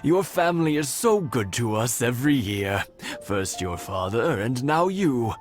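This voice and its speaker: An actor playing a polite, hospitable elderly village husband in a video game. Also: male voice, mid-range voice, very animated, unhurried. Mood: courteous. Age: older. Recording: game soundtrack music behind.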